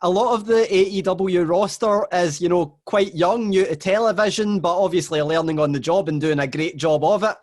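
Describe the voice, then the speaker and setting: Scottish guy interviewing, bit high voice, polite and chatty. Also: steady tone, moderate pace, few pauses. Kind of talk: interview questions.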